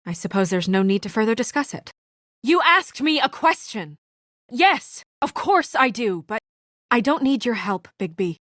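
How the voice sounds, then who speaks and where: An actress playing a brisk, guarded young female office assistant in a noir video game drama, speaking in a crisp, businesslike, dry manner. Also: fairly high pitch, sing-song, a bit bright, dry recording. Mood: exasperated, defensive.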